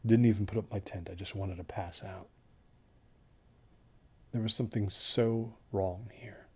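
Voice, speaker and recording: A man acting as a haunted, unravelling desert hiker who recounts his trek in a halting, introspective monologue with a husky voice. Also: on the low side, steady tone. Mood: uneasy, haunted. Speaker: first-person narrator of a horror audio drama. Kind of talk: confessional dramatic monologue.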